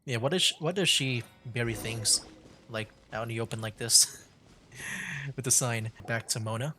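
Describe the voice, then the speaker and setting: A man's voice: medium pitch, normal speed, sharp tone. A man streaming a video game, casually chatting and chuckling over the story. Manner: laid-back, chuckling. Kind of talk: casual gameplay commentary. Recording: game music behind.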